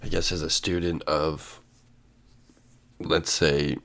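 A man's voice: very deep voice, flat delivery, slow, vocal fry, a bit bright. A male podcast host with a stuffy cold, musing aloud and reading definitions with many fillers. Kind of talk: solo podcast monologue. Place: sitting at home with coffee.

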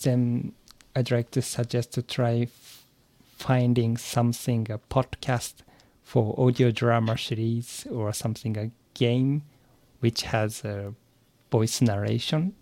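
A man's voice: medium pitch, fairly even intonation, takes it slowly, a little mellow, dry recording. A man from Japan with a Japanese accent, an English learner answering interview questions hesitantly, with hums of agreement. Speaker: podcast guest, English learner.

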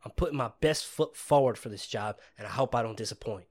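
A man's voice: mid-range voice, fast talker, pitched below the performer's own voice, natural voice. Man putting on a polite country-twanged rookie. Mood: apologetic, earnest. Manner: deferential, earnest.

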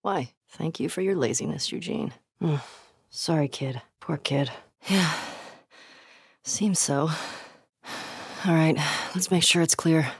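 A woman's voice: sits low, takes it slowly, long pauses, slightly rough, no room sound, studio quality, heavily acted. A woman playing a tough, sardonic, foul-mouthed young American survivor in a video game, blunt and naturalistic in delivery. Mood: casual, wry. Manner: blunt, sweary.

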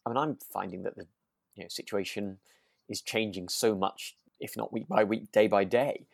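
A man with a low, creaky voice, recorded close and dry. He co-hosts a podcast in a relaxed conversational style, feeling his way tentatively through his points. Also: normal speed, no room sound. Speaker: podcast co-host. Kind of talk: relaxed chat discussing a radio drama.

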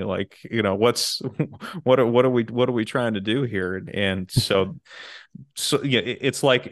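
A man speaking casually on a podcast, reflective and halting, often repeating words as he works through a thought. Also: lowish voice, a bit bright, no room sound, studio quality. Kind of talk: casual discussion.

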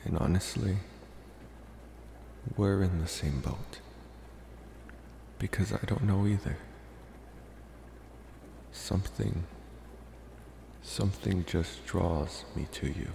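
A man playing a polite, infatuated, mysterious vampire in an ASMR roleplay, talking slowly and reassuringly with hesitant pauses. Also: sits low, vocal fry, dark tone, reverberant, in a large hall, slight background noise, full character voice. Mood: calm, reassuring. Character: soft-spoken male college-age vampire, politely infatuated, mysterious.

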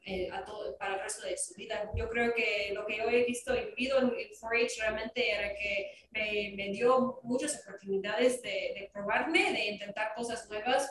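A woman's voice: a little high, recorded from a distance. American woman speaking learned Spanish. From Wisconsin, United States. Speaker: guest. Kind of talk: casual podcast chat. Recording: echoey room, voice a bit off-mic, faint noise.

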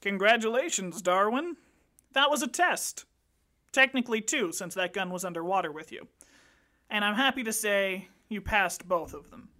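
A woman's voice: medium pitch, expressive, normal speed, bright, forceful tone. A woman voicing a blunt, foul-mouthed male secret agent who gives crude, matter-of-fact reports. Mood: smug. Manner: blunt, profane field-report delivery.

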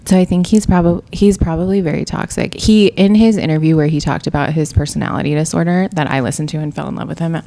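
Woman co-host, low and creaky, steady monotone delivery, casual banter. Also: quick pace, hardly any pauses. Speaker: podcast co-host. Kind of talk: casual chat.

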